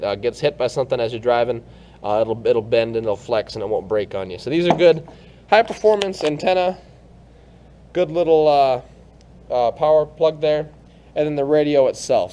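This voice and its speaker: A man giving a casual hands-on product demonstration, explaining informally and haltingly, step by step. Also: a little high, dark tone, slight background noise. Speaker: product reviewer.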